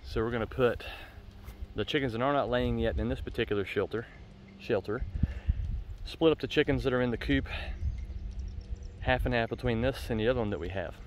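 A man's voice: medium pitch, fairly quick. A man giving an informal, folksy farm tour as a farmer, speaking in a matter-of-fact way into a handheld phone. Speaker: farmer and vlogger. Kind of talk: casual farm tour vlog. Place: outdoors on a farm, windy. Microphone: handheld phone, glove over microphone against wind. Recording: windy outdoor handheld phone recording.

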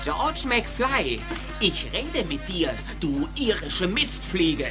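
A man's voice: high-pitched, very animated, extremely slow, music in the background, full character voice. A man acting a menacing young bully in a film dub, mocking and taunting.

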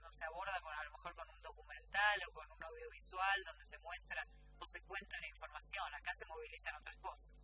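A woman's voice: on the low side, sing-song, a little fast, hardly any pauses, noisy background. A woman with a Rioplatense Argentine accent explaining conversationally as a radio guest, searching for her words. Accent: Rioplatense Spanish from Argentina. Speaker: theatre group member, guest. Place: radio studio. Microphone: studio microphone.

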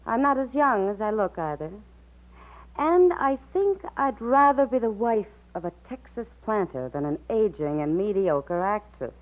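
An actress playing a self-possessed, candid and teasing stage actress no longer young, arch and theatrical, in an old-time radio drama. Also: female voice, mid-range voice, animated, moderate pace, close to an everyday voice. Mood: candid, wry. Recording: muffled vintage radio recording.

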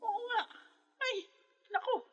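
A woman's voice: extremely high pitch, takes it slowly, fairly bright tone, dull audio, exaggerated voice. An actress playing a defensive, callous middle-aged mother in a Filipino radio drama, stammering and indignant. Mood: panicked. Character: defensive, callous mother who dumped the cats.

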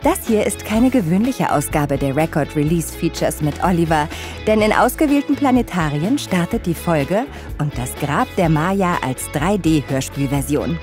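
A woman hosting a feature with scripted narration. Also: lowish voice. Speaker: host. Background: music behind the voice.

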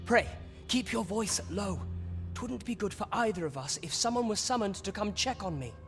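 A polite young prince speaks in a lightly high voice with careful, earnest formality. It is archaic fantasy game dialogue, guarded and courteous. The voice rings in a long hall reverb over music. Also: male voice, animated, normal speed, fairly bright tone. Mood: cautious, apologetic. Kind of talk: scripted fantasy game acting in archaic English.

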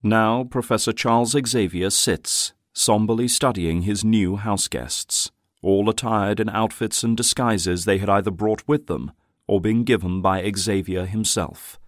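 A man's voice: lowish voice, measured pace, no echo, clean studio sound. A man reading scripted dramatic narration as the storyteller of an audio drama.

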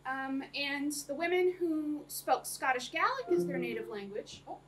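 A woman's voice: sits high, animated, moderate pace. A young woman giving an academic talk to a small audience, chatty, with many ums and frequent laughs. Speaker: lecturer. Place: small room with projector screen. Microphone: camera across the room. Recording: distant room mic, faint noise.

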